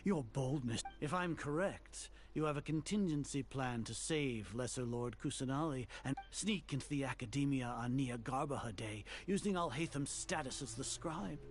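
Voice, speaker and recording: A man's voice: medium pitch, lightly acted. A male actor playing a haughty, fanatical grand sage in a video game, speaking in pompous, formal phrases over music. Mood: cold, condescending. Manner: pompous, formal, deliberate diction. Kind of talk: dramatic video game voice acting.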